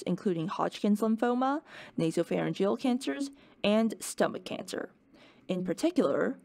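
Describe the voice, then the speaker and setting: A woman's voice: lowish voice, vocal fry, a little mellow, no room sound. A woman playing a wry, playful professor who delivers a scripted lecture in a conversational, plain-explaining way. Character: playful, wry professor giving an unasked-for office-hours lecture. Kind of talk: scripted educational lecture in character.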